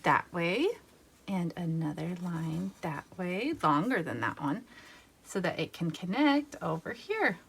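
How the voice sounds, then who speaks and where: A woman's voice: fairly low pitch, expressive, measured pace, vocal fry, a bit bright, husky. Woman patiently guiding a drawing lesson. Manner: patient, step-by-step instructions. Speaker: art teacher. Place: home art studio.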